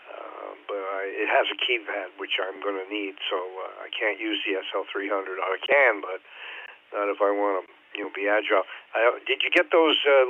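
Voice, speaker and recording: A man chatting casually over amateur radio in a halting, plain-spoken way. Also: lowish voice, narrow, tinny audio. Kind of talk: casual ham radio chat. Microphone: two-way radio. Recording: thin, echoey digital radio link.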